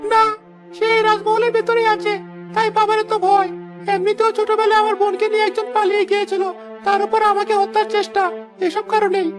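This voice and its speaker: A woman voicing a gentle, sheltered young royal child in a fairy-tale cartoon. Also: extremely high pitch, flat delivery, brisk. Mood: sad, confiding. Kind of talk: animated fairy-tale voice acting. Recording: music behind the voice. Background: background music.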